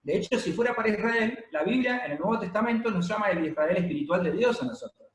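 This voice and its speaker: High-voiced male preacher. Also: expressive. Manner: explanatory teaching, frequent pauses and fillers. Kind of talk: sermon. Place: church hall, congregation present and streamed. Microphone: handheld or stand microphone. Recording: echoey room.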